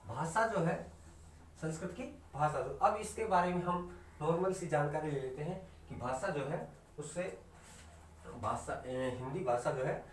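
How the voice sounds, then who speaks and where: Hindi teacher guy lecturing, slows down hard on definitions, echoey room, faint background hum. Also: fairly high pitch. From India. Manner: asks questions and answers them himself, repeats key terms; slows right down to stress definitions. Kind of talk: online class lecture. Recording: echoey room recorded from a distance.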